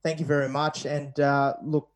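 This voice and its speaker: A man's voice: fairly high pitch, little pitch movement, normal speed. A man hosting a radio interview program. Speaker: radio show host.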